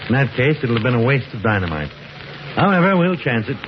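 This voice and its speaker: An actor playing a seasoned, thoughtful professor and explorer, measured and authoritative, in 1950s radio drama style. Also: male voice, medium pitch, very animated, lightly acted. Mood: thoughtful, speculative. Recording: muffled, noisy old radio recording.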